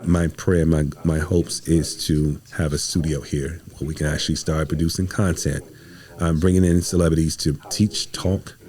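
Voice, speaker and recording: A man's voice: a bass voice, little pitch movement, moderate pace, darkish tone. An American man talking casually as a podcast guest, slangy and emphatic, telling stories and quoting conversations. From United States, Midwest. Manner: slangy, emphatic, acts out reported dialogue. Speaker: podcast guest, stage playwright. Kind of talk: animated casual interview storytelling.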